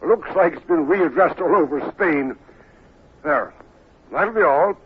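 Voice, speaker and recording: A narrow, tinny old radio recording with slight noise holds an Irish prison aide. His higher-set voice is curt and official, dryly matter-of-fact in radio-play style. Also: animated, a little slow. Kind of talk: old-time radio drama acting.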